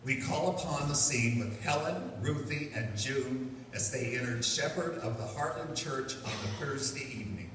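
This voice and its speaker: A man narrating a scripted radio comedy as announcer, deadpan and deliberate, with mock solemnity. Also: medium pitch. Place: live performance in a reverberant hall. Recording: echoey hall, distant mic, loud noise.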